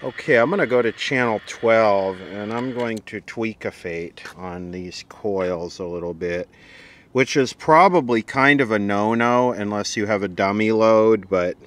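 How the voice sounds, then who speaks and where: A man giving a casual, unscripted hands-on demonstration as a video host, dry and wry in manner. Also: lowish voice. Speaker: video host and radio repair hobbyist.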